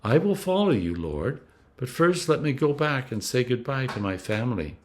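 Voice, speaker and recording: A man's voice: medium pitch, very animated, moderate pace, slight background noise, lightly acted. A man voicing an eager, earnest disciple in a scripture story.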